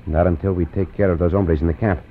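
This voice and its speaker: An actor playing a calm, cunning caballero hero who speaks formal English, in an old radio drama. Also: male voice, low-pitched, lightly acted. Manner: formal, unhurried English with Spanish words. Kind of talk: 1940s radio acting. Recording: muffled old radio with faint hiss.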